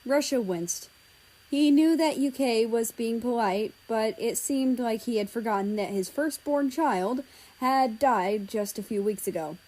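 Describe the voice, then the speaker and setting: A woman's voice: on the high side, unhurried, a little mellow, low-quality recording. A woman narrating a written story as its reader.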